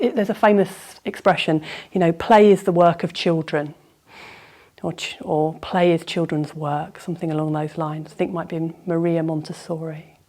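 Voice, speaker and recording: A woman teacher leading a guided yoga nidra meditation, improvising gently in a soothing, unhurried way with reflective pauses. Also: on the low side.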